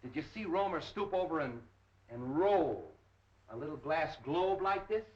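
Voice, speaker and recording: An actor playing a brusque, impatient, sarcastic police detective in a 1930s film. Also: male voice, fairly high pitch, lively intonation, a little slow, a little mellow. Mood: insistent, brisk. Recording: dull 1930s film soundtrack.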